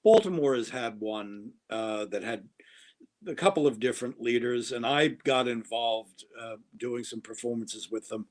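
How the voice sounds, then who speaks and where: Male actor as podcast guest, mid-range pitch, chatty, digresses, trips over openings. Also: measured pace. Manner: chatty, digressive, with false starts. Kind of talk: casual podcast conversation.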